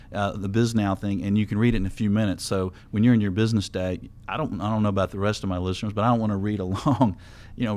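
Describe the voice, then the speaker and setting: A man's voice: fairly low pitch, a little mellow. A man hosting a radio talk show, upbeat and chatty, who laughs easily with his guests.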